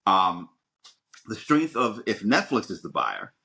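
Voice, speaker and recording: Deep-voiced man, bright and forceful. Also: huge pitch swings, vocal fry, low-quality recording. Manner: emphatic, repeats words, halting rambling delivery. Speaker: podcast co-host. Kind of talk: casual opinionated discussion.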